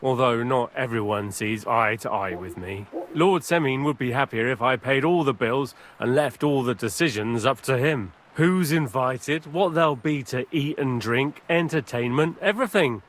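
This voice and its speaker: An actor playing a chatty, aggrieved middle-aged medieval village bailiff in a video game. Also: male voice, mid-range voice, normal speed, hardly any pauses, a bit bright, slight background noise, close to an everyday voice. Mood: indignant. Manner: garrulous, grumbling.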